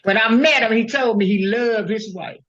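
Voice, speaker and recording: A woman's voice: a little high, moderate pace, a bit bright, muffled recording. An older woman speaking in a blunt, profane, emphatic casual monologue.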